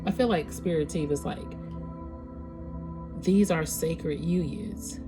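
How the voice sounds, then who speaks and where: Woman, lower register, congested and stuffy, easygoing card reader talking to her viewers, music bed underneath. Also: slow. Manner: casual, conversational, searching for words. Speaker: tarot reader. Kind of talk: spiritual reading for a collective audience.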